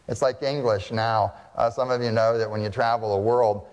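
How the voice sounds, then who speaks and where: A man's voice: on the low side, steady tone, moderate pace, a little mellow, smooth, in a large hall. Man preaching a conversational sermon. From United States. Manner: conversational, asks the audience questions. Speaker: pastor.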